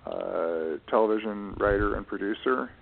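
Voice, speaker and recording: A man's voice: lowish voice, moderate pace, gravelly. Man on a tinny, phone-like line. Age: middle-aged. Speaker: interview guest, writer and publishing professional. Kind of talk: reflective conversational interview. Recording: narrow, muffled phone-like audio.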